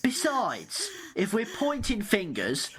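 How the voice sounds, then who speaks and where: A woman's voice: medium pitch, huge pitch swings, takes it slowly, fairly bright tone, heavily acted. A woman playing an indignant, defensive passenger in an amateur radio play, aggrieved and accusing. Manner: aggrieved, finger-pointing.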